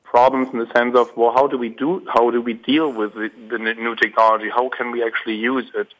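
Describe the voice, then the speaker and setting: A hoarse man, calling in as a guest and speaking hesitantly with many ums and restarts. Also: mid-range voice, fairly even intonation, a little fast, a bit bright. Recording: dull lo-fi call line.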